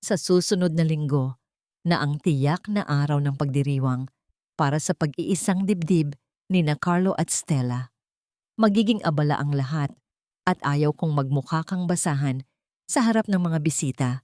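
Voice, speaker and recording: A woman's voice: low voice, normal speed, darkish tone, no room sound, close to an everyday voice. A woman playing a cold, haughty old matriarch in an audio story, imperious and belittling. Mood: cold, commanding.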